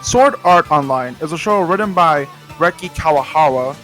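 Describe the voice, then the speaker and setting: A man's voice: a little high, animated, moderate pace, fairly bright tone, faint music behind. A young man hosting a casual comedic anime commentary, rambling with self-deprecating jokes muttered under his breath. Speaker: host.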